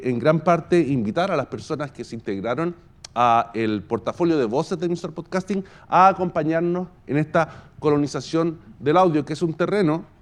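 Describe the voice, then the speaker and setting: A Chilean man giving a semi-improvised conference talk in a halting, thoughtful way, often pausing mid-phrase. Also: medium pitch, darkish tone. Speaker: podcast company founder giving a presentation.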